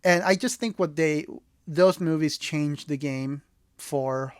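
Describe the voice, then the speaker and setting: A man's voice: on the high side, slow pace, no echo, studio quality. A man from El Salvador, a native Spanish speaker, co-hosting a podcast and casually discussing films with earnest enthusiasm. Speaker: podcast co-host.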